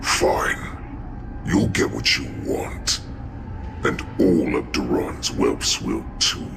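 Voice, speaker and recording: An actor playing an ancient, savage beast lord in a video game, growling slowly with menace and contempt. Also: male voice, animated, noisy background, heavily acted. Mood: contemptuous. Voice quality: deep gravelly growl.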